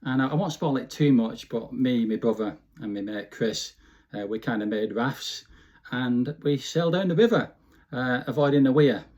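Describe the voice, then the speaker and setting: A man's voice: mid-range voice, normal speed, darkish tone. A man in his fifties with a Bolton, Lancashire accent, reminiscing casually and informally in an interview, often adding "you know". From Bolton, Lancashire, England. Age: 50s. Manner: chatty, informal, frequent "you know" tags. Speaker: interview guest.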